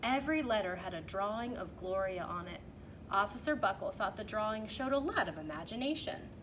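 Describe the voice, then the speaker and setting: A woman reading a children's storybook aloud in an animated, emphatic way, drawing out key phrases. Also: medium pitch, fairly quick. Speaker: teacher reading a picture book aloud. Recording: clearly noisy background.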